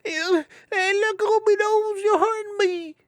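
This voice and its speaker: A man's voice: very high-pitched, fairly even intonation, measured pace, a bit bright, no room sound, studio quality, pitched above the performer's own voice. A man voicing a small, impudent young male hedgehog who talks in a rustic dialect. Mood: whimpering.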